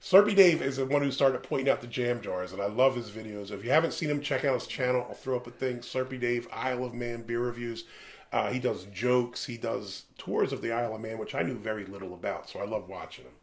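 A man in his forties with a gravelly edge, hosting a beer review from a small room lined with shelves. He talks in loose, animated asides and cracks jokes as he goes. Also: medium pitch, brisk. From American Northeast. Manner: animated, jokey, rambling asides. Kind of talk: casual chat.

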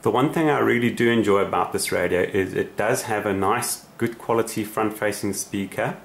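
A man's voice: on the low side, normal speed, creaky. A man giving a casual, unscripted explanatory demonstration as a radio hobbyist, with somewhat hesitant phrasing. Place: small indoor radio room.

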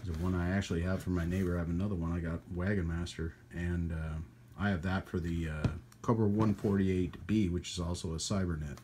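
A man hosting a hobby radio channel in a casual, rambling hands-on demonstration, with folksy trailing asides and a husky voice. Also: deep voice, steady tone, fairly quick, background noise. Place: indoors at a radio workbench.

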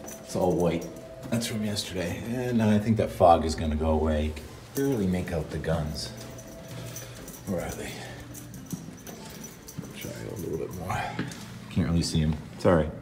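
A low-voiced man chats easily about the weather as he walks his dog, talking into a handheld phone. He is outdoors at a mountain resort at dawn, with faint outdoor noise behind him. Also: a little slow, long pauses. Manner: easygoing, chatty, talks while walking the dog. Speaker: weather forecaster and vlogger. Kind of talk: casual walking weather vlog.

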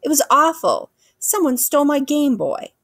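A woman's voice: high-pitched, very animated, moderate pace, a bit bright, dry recording, clean studio sound, pitched above the performer's own voice, full character voice. A woman voicing a blunt, curious American boy in a storybook, plain-spoken and skeptical. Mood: complaining. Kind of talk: children's storybook character voice.

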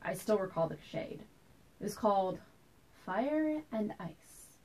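A wistful, uneasy woman played by an actress delivers a stage monologue about her childhood. She tells it deliberately, pausing for drama, in a medium-pitched voice. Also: lively intonation, mellow. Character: wistful, anxious woman recalling tense childhood family dinners. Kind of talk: theatrical monologue performance.

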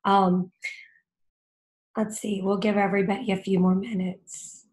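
Thirties woman vet, hoarse and losing her voice, easygoing webcam host. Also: medium pitch, little pitch movement, darkish tone, poor audio. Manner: chatty, unhurried, apologetic about her voice. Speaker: cat care vet host. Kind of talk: casual video call Q and A. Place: home office with bookshelves, indoors. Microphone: laptop webcam on video call.

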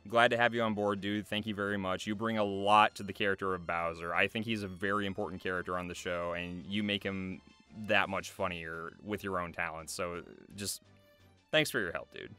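A young man with a fairly low voice talks casually to his viewers. His delivery is earnest and a little hesitant, as if loosely following notes. Faint music plays behind him. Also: steady tone, fairly quick, sharp tone, clear, resonant tone. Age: young adult. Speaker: voice actor and video creator. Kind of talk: casual thank-you address to camera, loosely scripted. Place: small foam-treated recording booth. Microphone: large studio microphone close by.

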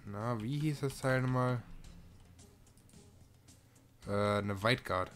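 A man's voice: medium pitch, takes it slowly. A man giving casual let's-play commentary as a gaming video host, thinking aloud while he plays. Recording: music behind the voice.

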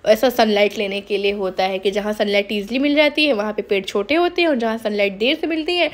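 A woman in her thirties narrating a family trip in a calm, unhurried, explanatory voice-over. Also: mid-range voice.

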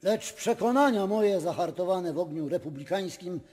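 A haughty old-world nobleman in a radio play, pompous and indignant. He declaims in a high-pitched voice with long hall reverb behind it. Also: lively intonation, normal speed, darkish tone. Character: pompous, indignant aristocratic Polish magnate. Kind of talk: theatrical radio drama acting.

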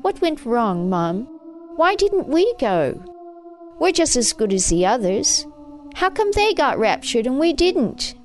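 A woman's voice: high voice, lively intonation, normal speed, hardly any pauses, a little mellow, pitched above the performer's own voice. A woman voicing a frightened, whiny, bitter teenage boy in an audiobook, in a wailing, complaining manner. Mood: bitter, whining. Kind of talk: audiobook character voice.

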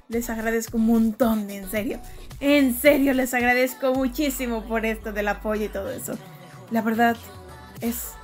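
A woman video creator talking casually to viewers in a rambling, repetitive way. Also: on the high side. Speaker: fan dubber and video creator. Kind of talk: casual outro thanks to viewers.

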